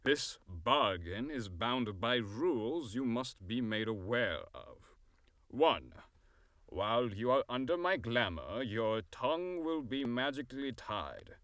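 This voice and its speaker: A man playing a weary, cunning fairy king in an audio drama, speaking formally and deliberately. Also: mid-range voice, sing-song, a bit bright, no echo, studio quality. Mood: commanding.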